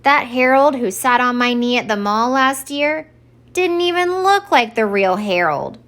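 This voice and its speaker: A woman's voice: a little high, expressive, unhurried, fairly bright tone, pitched above the performer's own voice. A woman voicing a mopey, suspicious, stubborn old Santa Claus in a children's storybook, dramatic and insistent. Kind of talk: expressive children's storybook read-aloud.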